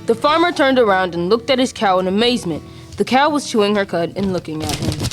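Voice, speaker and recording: A woman's voice: mid-range voice, lively intonation, fairly quick, soft background music. A young female student reading scripted folktale narration as the narrator. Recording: faint noise, amateur school podcast.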